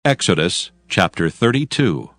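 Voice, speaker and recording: A man narrating scripture in a measured, formal, scripted style for an audio drama. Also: sits low, sing-song, fairly bright tone, no room sound, clean studio sound, faint music behind.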